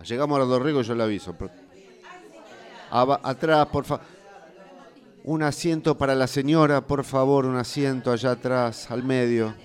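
A man's voice: medium pitch, normal speed, pauses often, a faint crowd, natural voice. Guy playing argentine bus driver in a radio play, stressed out, bossy, keeps repeating orders at passengers. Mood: harried, bossy. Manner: repetitive, bossy, nagging. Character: harried, impatient male city bus driver ordering passengers around. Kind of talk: improvised radio drama acting.

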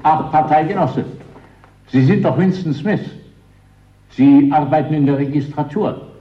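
An actor playing a courteous, guarded male party official in a radio drama, speaking politely and deliberately with an insinuating undertone. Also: a little high, muffled recording, natural voice.

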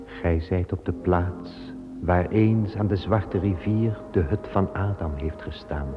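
A man voicing a calm, solemn male angel guarding a paradise garden, speaking slowly and with measure in an old radio play. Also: low-pitched. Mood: narrating vision. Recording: dull old radio play recording with music.

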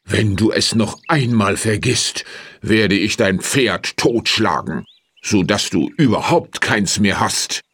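A man playing a big, rich, spiteful peasant in a fairy tale, scolding in a gruff, menacing way. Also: fairly low pitch, animated, takes it slowly, a bit bright, no room sound, exaggerated voice. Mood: threatening. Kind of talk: acted fairy-tale audio story dialogue.

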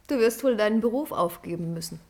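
Eine Frau spielt im Hörspiel eine trockene, skeptische Ehefrau, die auf ein Äffchen eifersüchtig ist und spöttisch stichelt. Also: female voice, medium pitch, expressive, fast, creaky, darkish tone. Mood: sardonic, needling. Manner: sardonic, needling. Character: dry, sceptical wife jealous of a pet monkey. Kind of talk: German radio play acting.

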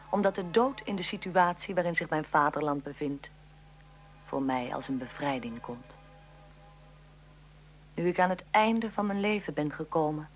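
A woman playing a curious, sympathetic interviewer of historical figures in a radio drama. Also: deep voice.

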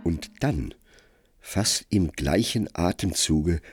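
Deep, slow male radio play narrator. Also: over music. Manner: measured, expressive storytelling.

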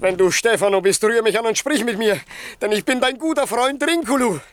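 An actor playing an excitable, clownish castaway in a radio play, comic and exclamatory. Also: male voice, very high-pitched, a little fast, no echo. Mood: frightened. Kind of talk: 1960s German radio play acting.

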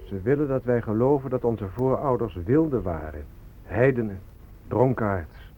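A man's voice: mid-range voice, expressive, normal speed, lightly acted. Man acting a bitter activist, muffled recording. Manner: sardonic, accusing. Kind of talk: Dutch radio play acting. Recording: dull, lo-fi radio recording.

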